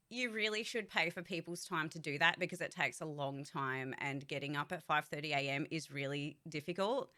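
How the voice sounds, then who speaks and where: Frank, sweary young woman, bright voice. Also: lowish voice. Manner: frank and sweary, talks fast with restarts. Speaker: voice actor and streamer. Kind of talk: candid casual vlog chat.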